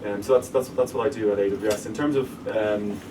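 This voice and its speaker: A man's voice: lowish voice, fairly even intonation, fairly quick. An Irish man speaking as a guest at a live evening talk, explaining his work in a businesslike way and often restarting his sentences. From Ireland. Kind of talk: interview talk to a live audience. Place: event room with an audience. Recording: distant mic with room noise.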